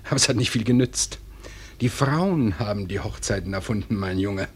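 An actor playing a genial, bumbling middle-aged father in a radio play, hesitant and halting, with wry humour. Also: on the low side, breathy edge. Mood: wry, amused. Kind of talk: German radio play acting.

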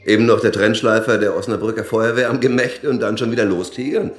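Actor as a brazen, rich, low-voiced suspect. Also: male voice, fairly even intonation, quick pace, echoey room, faint music behind, natural voice. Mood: defensive. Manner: flippant, colloquial, sardonic. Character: rich, brazen businessman, male suspect, flippant and defiant. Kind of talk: naturalistic German radio crime drama acting.

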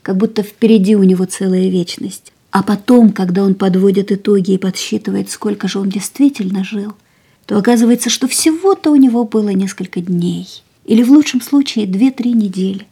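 An actress playing a gravely ill young woman who speaks quietly, tiredly and resolutely, often in a whisper, in a radio play. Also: medium pitch, a little fast. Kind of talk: studio radio drama acting.

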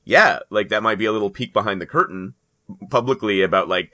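A man's voice: fairly low pitch, brisk, bright, forceful tone. A man co-hosting a podcast in casual panel chat, rambling and often interrupting himself with qualifications. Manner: rambling, hedging, self-interrupting. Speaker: comics news podcast co-host.

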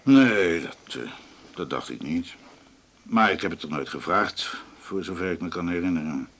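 Mild policeman, very low voice, gravelly fry, hesitant, understated, thoughtful, radio drama. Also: expressive, normal speed, exaggerated voice. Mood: reflective. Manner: hesitant, understated, gently chiding.

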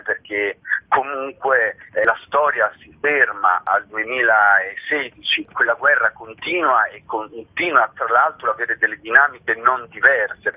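A man's voice: medium pitch, husky. A man being interviewed on radio as a theatre director, speaking in long, winding sentences over a telephone. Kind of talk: radio interview, promoting a stage show. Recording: tinny phone line.

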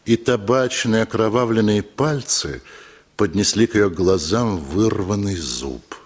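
A man narrates a literary story for radio. His voice is mid-pitched and husky, with animated intonation. He tells it slowly and dramatically.

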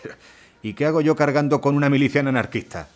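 An actor playing a gruff, swearing, reluctant man. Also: medium pitch, fast talker, close to an everyday voice.

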